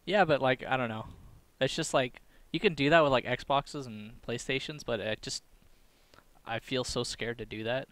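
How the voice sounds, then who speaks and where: A man's voice: mid-range voice, moderate pace, a bit bright, no room sound. A young man co-hosting a podcast in casual chat, rambling with many fillers and laughing easily. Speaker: podcast co-host.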